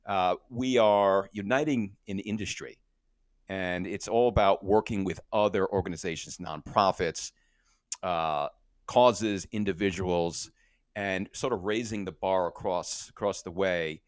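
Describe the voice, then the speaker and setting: A man speaks with a somewhat low voice in an easygoing interview. He talks with energy and often restarts his sentences. The recording is dry and close. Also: unhurried, dry recording. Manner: energetic, repeats words when starting sentences. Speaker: podcast guest, company co-founder. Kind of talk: casual interview talk.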